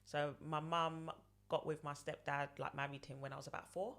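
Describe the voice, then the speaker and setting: A woman's voice: deep voice, flat delivery, moderate pace, vocal fry, fairly bright tone. Woman in her thirties, rambling interview answers, repeats herself. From London, England. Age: 30s. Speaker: podcast guest.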